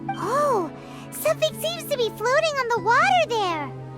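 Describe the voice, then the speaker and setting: Very high, grandiose teenage girl. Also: sing-song, unhurried, music in the background, exaggerated voice. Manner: florid, archaic, melodramatic. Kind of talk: anime-style video game voice acting.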